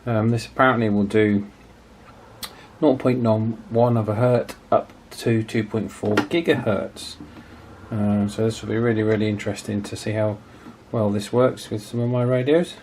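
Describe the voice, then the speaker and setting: A man's voice: on the low side, flat delivery, moderate pace, background noise. A man in his forties narrating a hands-on repair casually, hesitant and thinking aloud as he goes. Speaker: video host, radio restoration hobbyist.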